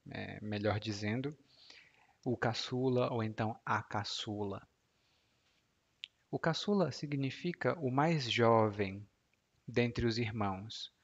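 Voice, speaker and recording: A Brazilian man in his thirties teaches vocabulary at a slow, deliberate pace, voice sitting at an ordinary middle pitch. He explains things patiently and drops into soft, near-whispered asides. The recording sounds a little dull and lo-fi. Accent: Brazilian Portuguese. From Ceará, Brazil. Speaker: Portuguese teacher. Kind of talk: explaining vocabulary in a language lesson.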